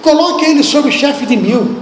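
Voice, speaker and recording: High-voiced Brazilian preacher in an echoey hall. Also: male voice, moderate pace, a little hiss or hum. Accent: Brazilian Portuguese. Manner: animated, acts out biblical speech, asks the congregation questions. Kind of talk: sermon. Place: church hall. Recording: echoey hall, distant room.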